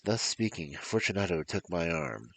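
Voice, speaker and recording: A man narrating a scripted story in a gravelly voice, with deliberate, theatrical delivery. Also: low-pitched, a bit bright.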